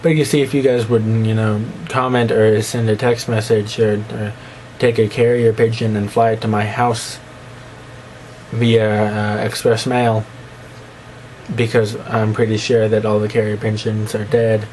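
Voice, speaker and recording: A man's voice: flat delivery, a little slow, darkish tone, slight background noise, poor audio. Rambling young man with a slight lisp. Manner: rambling, self-mocking, breaks into squeaky put-on voices. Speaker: vlogger. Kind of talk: rambling casual monologue to camera.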